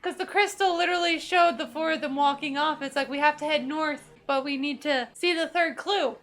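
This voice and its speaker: A woman's voice: high voice, fairly quick, a bit bright. A woman hosting a casual gaming playthrough, jokily paraphrasing what the characters say. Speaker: gaming video host and player.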